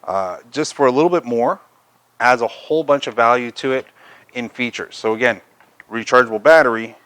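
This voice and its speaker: A man's voice: medium pitch, moderate pace, fairly bright tone, dry recording. A man presenting a product review, talking through features in an unscripted, conversational, explanatory way.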